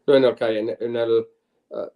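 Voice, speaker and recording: A middle-aged man, a lawyer and former legislator, answers an interviewer's questions at an ordinary pitch. He is a native English speaker working in a second language, so he restarts and hunts for words as he goes. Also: level tone, narrow, tinny audio. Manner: halting, searching for words in Spanish. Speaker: lawyer and former state senator, guest.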